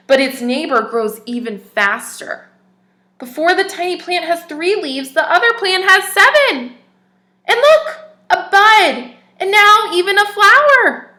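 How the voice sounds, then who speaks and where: A woman teacher reading a picture book aloud to young children, expressive and stopping to ask them questions. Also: very high-pitched, unhurried, echoey room.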